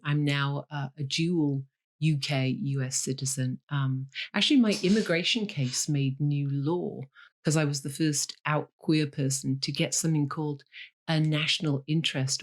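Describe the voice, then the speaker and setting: Woman, wry and candid. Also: low-pitched. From Leeds, Yorkshire, England. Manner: dry humour, candid, fillers like um. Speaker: guest, novelist. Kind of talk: interview over a call.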